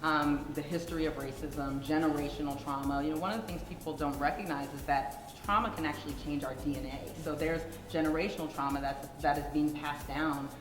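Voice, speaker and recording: A woman's voice: low voice, fairly even intonation, brisk, hardly any pauses. A woman guest speaker interviewed at a conference, articulate and thoughtful. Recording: echoey hall with music.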